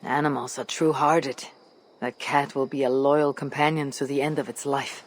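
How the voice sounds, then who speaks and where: An actor playing a steadfast, earnest Viking warrior in a video game, speaking in a measured, solemn way. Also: male voice, medium pitch, flat delivery, lightly acted. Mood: calm. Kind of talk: dramatic video game acting.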